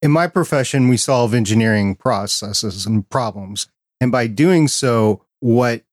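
A man's voice: medium pitch, darkish tone, no room sound, clean studio sound. A man with a Midwestern American accent, hosting a podcast chat and explaining his views in an earnest, reflective way. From Midwestern United States. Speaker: podcast host. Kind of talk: casual interview chat.

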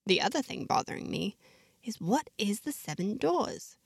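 Woman storyteller, mid-range pitch, measured and reflective, dry close sound. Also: big pitch swings, gravelly, breathy edge, no room sound. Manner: measured, reflective diary-like delivery. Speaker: storyteller narrating in first person. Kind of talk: scripted fiction narration.

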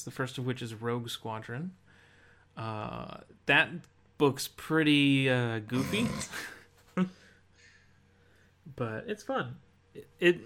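Mid-pitched man, halting casual podcast talk. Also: a bit bright. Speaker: podcast co-host. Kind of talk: casual video game discussion podcast.

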